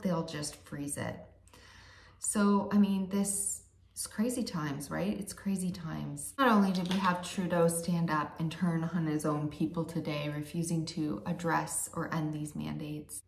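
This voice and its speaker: A woman in her forties making an earnest, pleading appeal straight to her phone camera from her home bathroom. Her voice sits a little low and now and then sinks to a hushed whisper. The hard-walled room gives the sound a hollow ring. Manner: earnest, pleading, drops to a soft whisper at times. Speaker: vlogger. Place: dim bathroom at home, indoors. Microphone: phone or webcam close to the face. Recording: echoey bathroom.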